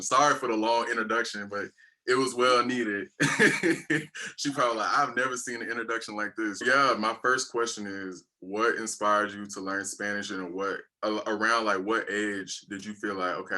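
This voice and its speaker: A young man interviewing a guest, talking in a relaxed, rambling, colloquial way. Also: fairly low pitch. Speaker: YouTube interviewer. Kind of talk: casual interview.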